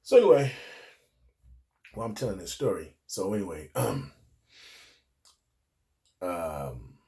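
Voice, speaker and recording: A middle-aged American man speaks in a fairly low voice. He tells personal stories in a casual, unscripted way. He rambles and circles back through digressions, with plenty of street slang. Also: big pitch swings, slow pace, warm tone. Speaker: storyteller recalling his youth.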